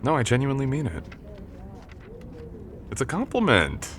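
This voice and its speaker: A young man playing a confident, teasing and protective college jock in an intimate boyfriend audio roleplay, speaking in a gentle, conversational way. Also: medium pitch, huge pitch swings, moderate pace, a little hiss or hum. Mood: teasing, admiring.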